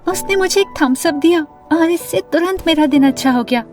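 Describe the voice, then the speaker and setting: A young female student with a high, dramatic voice. She confides her cartoon tale like an imaginative, anxious girl in love. Soft music sits under her words. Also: brisk. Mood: dreamy confiding. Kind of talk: animated first-person story narration and dialogue.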